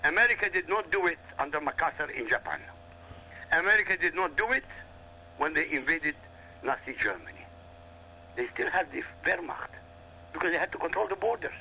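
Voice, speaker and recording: A man from Iraq whose first language is Arabic, lecturing forcefully and emphatically in a heated radio talk, repeating points for weight. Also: on the high side, big pitch swings, brisk, creaky, long pauses, a little hiss or hum, low-quality recording. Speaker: guest commentator.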